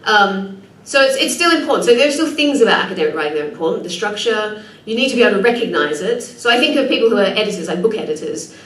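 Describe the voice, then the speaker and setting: A woman presenter at a conference, talking quickly and thinking aloud with fillers while answering questions. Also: mid-range voice, lively intonation, a bit bright. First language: English. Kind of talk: conference talk and Q&A. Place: conference room. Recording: echoey room, distant mic, faint noise.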